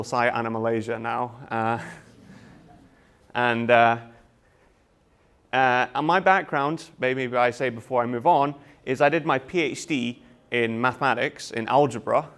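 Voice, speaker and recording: Brief: Scottish lecturer, man, 30s, presenting to students, halting with fillers. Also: mid-range voice, fairly bright tone. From Scotland. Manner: hesitant, frequent uh fillers and restarts. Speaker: university lecturer. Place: lecture room.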